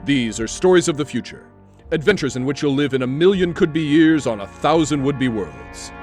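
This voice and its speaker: A man's voice: mid-range voice, lively intonation, normal speed. A man announcing an old-time radio series in a grand, declamatory, dramatic style. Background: music.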